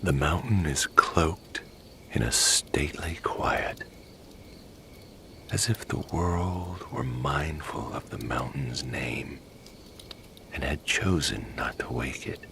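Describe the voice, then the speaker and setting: A man narrating a scripted bedtime story in a breathy, near-whispered, hushed and lingering way. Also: extremely low pitch, takes it slowly, a little hiss or hum.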